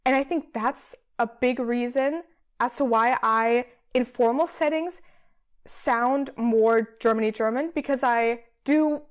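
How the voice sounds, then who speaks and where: Chatty woman thinking aloud as a guest. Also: a little high. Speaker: guest, PhD student. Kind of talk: casual interview chat.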